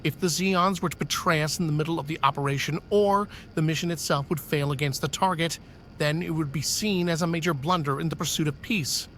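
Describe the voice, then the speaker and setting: A man's voice: on the high side, a little fast, a bit bright, slight background noise, natural voice. A man playing a stern senior officer. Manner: clipped, commanding orders.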